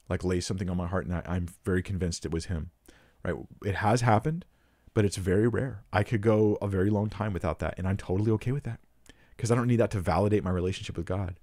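Man, low voice, earnest casual teaching, emphatic, dry close-mic sound. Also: darkish tone, no room sound. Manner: earnest, frequent restarts, emphatic rises. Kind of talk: casual unscripted teaching talk.

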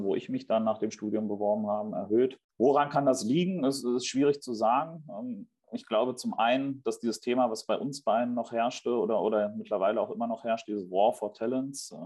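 Husky, mellow young man from Germany. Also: medium pitch. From Berlin, Germany. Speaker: podcast guest, real estate investment manager. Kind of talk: conversational interview answers.

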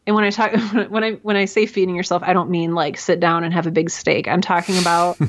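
A woman guest in a casual interview, giving advice in a chatty, animated way with frequent fillers. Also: fairly low pitch, fairly quick. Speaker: guest, writer and audio drama creator.

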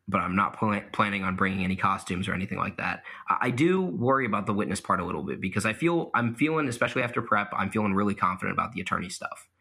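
Bright-voiced young man, self-mocking. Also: low voice, slightly rough. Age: young adult. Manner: self-deprecating, laughs at himself, many fillers. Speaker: guest, mock trial competitor. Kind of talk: casual interview answers.

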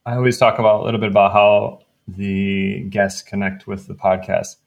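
A man hosting a podcast, chatting in a relaxed way and thinking aloud as he explains. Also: on the low side, normal speed, creaky, lo-fi sound. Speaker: podcast host, researcher. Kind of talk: casual podcast chat.

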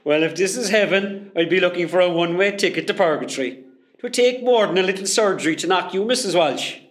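Cheeky high-voiced Cork lad played by an actor. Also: male voice, fast talker, lightly acted. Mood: teasing, reassuring. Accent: West Cork Irish. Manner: teasing, jokey. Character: cheeky, food-loving young male hospital worker. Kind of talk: comedy radio play acting.

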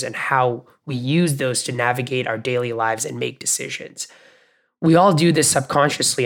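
A man co-hosting a podcast, sharing reflective takeaways in a measured, thoughtful way with frequent fillers. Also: medium pitch, a bit bright. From Bangladesh, Canada and the US. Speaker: podcast co-host.

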